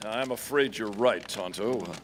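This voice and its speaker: A man's voice: on the low side, moderate pace, natural voice. A man playing a heroic, resolute masked Western lawman in an old-time radio play, declaiming and calling out commands. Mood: concerned, steady. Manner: declamatory, commanding calls to his horse. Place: performing arts center stage, live. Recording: reverberant hall, live stage, audible noise.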